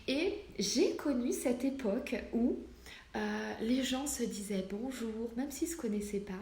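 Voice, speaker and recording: A woman's voice: a little high, expressive, a little slow, warm tone. A woman in her forties speaking in an earnest, emphatic and conversational way, as in a casual motivational vlog. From France, Portuguese family background. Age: 40s. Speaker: medium addressing online followers. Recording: roomy echo, clear background noise.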